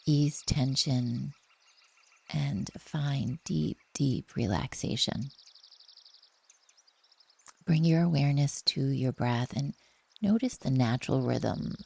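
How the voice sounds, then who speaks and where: A woman with a soft, breathy voice gently leading a guided relaxation meditation in a soothing, unhurried manner. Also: rumbling low.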